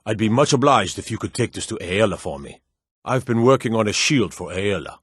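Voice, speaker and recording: An actor playing a gruff, proud old blacksmith in a fantasy video game, with a husky, gravelly voice and a measured, plain-spoken delivery. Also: male voice, fairly low pitch, animated, no room sound, clean studio sound. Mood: earnest, steady. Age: older. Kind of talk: fantasy game character dialogue.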